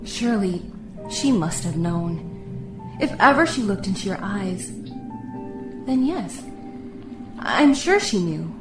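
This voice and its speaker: An actress plays a gentle female companion in an audio drama, earnest and questioning. Her voice sits at a middle pitch and echoes around the space, with music playing underneath. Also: sing-song, takes it slowly, long pauses. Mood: gentle, reassuring. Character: gentle, probing unseen woman companion in the dark.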